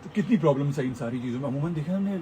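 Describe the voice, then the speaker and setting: A man's voice: fairly high pitch, expressive, racing. A man interviewing a guest as a radio host, in a casual, conversational way. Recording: clear background noise and ambience.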